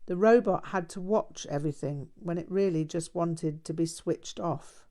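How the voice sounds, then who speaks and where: A woman reading a memoir aloud as scripted narration, in a measured, deliberate manner. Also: lowish voice, mellow. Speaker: audiobook reader.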